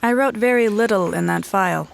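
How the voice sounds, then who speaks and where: An actress playing a sharp-eyed, no-nonsense female detective in a noir audio drama, deadpan and sardonic. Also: mid-range voice, brisk, vocal fry, a little hiss or hum, poor audio. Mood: wry. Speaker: detective and narrator. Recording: music behind the voice.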